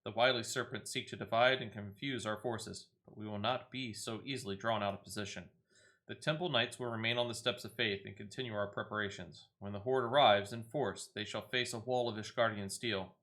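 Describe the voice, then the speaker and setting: A man's voice: fairly low pitch, fairly even intonation, a little fast, fairly bright tone. A man giving casual gameplay commentary, partly muttering to himself and partly reading quest text aloud as he plays. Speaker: gaming video host.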